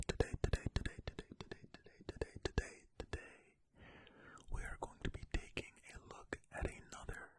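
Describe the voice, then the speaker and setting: An ASMR host reads stories in a breathy, fully hushed whisper. The sound is very crisp and bright, right up at the microphone. The delivery is slow and informal, with casual asides between passages. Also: no room sound. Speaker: ASMR host reading aloud. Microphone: close-up ear-to-ear ASMR microphone.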